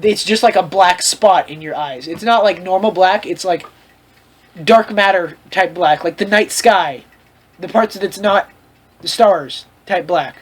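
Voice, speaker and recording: A host reading fan fiction aloud for comedy, in an animated, mock-lecturing way with frequent asides. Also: mid-range voice, measured pace. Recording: clear background noise with clicks.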